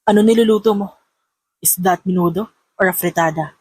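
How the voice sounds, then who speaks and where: A woman voicing a serious, tender, flirtatious male boss in an audio romance, soft-spoken and teasing. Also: fairly low pitch, normal speed, husky, no echo, voice pushed down.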